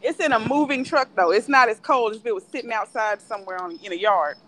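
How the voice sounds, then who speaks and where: A woman's voice: a little high, fast talker, a bit bright. A woman chatting casually and telling stories, acting out the people she quotes. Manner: storytelling, acts out quoted voices. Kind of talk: casual chat.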